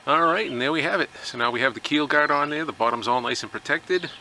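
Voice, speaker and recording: Gravelly man explaining outdoor boat work. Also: a little high, quick pace, a little hiss or hum. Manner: relaxed, plainspoken, with many fillers. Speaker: DIY workshop vlogger. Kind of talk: casual how-to narration. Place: outdoors in a rural driveway beside a boat trailer. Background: birds and outdoor ambience.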